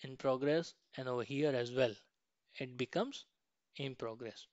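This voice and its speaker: A man giving an informal step-by-step software walkthrough, often checking in at the end of a point. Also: mid-range voice, a little slow, no echo, muffled recording. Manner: frequently ends points with a questioning "right". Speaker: software tutorial instructor. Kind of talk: screen-share tutorial walkthrough, informal step-by-step.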